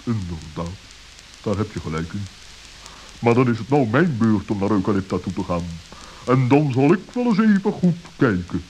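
A man voicing a pompous, suspicious, scholarly owl with a wordy, deliberate manner in a children's radio play. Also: lowish voice, very animated, darkish tone. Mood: conceding. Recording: hissy, crackly old audio recording.

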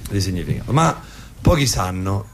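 A man with a fairly low, lively-pitched voice bantering on a comedy radio show. He talks casually and off the cuff, improvising as he goes. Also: moderate pace, a little hiss or hum.

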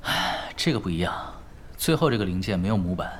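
An actor playing a laid-back, skilled male pro gamer, calm and focused, in a Chinese audio drama. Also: low voice, fairly quick, a little hiss or hum. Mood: explaining.

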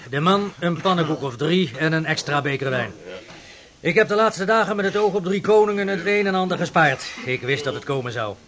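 A man's voice: fairly high pitch, lively intonation, brisk, fairly bright tone. A man acting in a Dutch radio drama as a bustling, hearty ship's cook with a folksy, busy way of talking. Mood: confiding. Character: bustling, hearty ship's cook looking after his mates. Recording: noisy radio drama recording.

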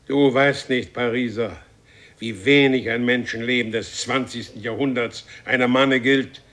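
A man's voice: mid-range voice, steady tone, a little slow, fairly bright tone, lightly acted. Actor as an imperious, grandiose warrior man. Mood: threatening. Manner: commanding, grandiose, solemnly threatening. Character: imperious, menacing male warrior from a future race. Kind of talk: German radio play acting.

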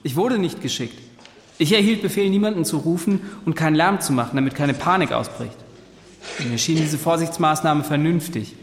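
Man playing young ship's officer, high voice, earnest, precise testimony, radio play, echoing hall. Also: a little hiss or hum, natural voice. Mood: earnest, factual. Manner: precise, measured recounting. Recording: echoing hall with long reverb.

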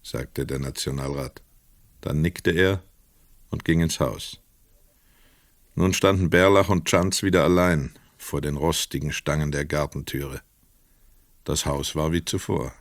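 An actor narrating a crime story in a radio play in a measured, precise manner. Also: male voice, extremely low pitch, no echo. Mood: measured, quiet.

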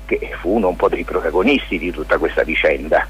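A man giving a spontaneous radio interview as a guest, speaking haltingly and often circling back to check he is understood. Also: low voice, husky. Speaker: lawyer and stage author, interview guest.

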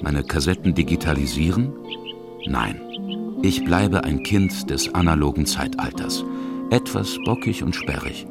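A man's voice: extremely low pitch, animated, measured pace, gravelly. A man narrating a scripted radio feature. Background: music.

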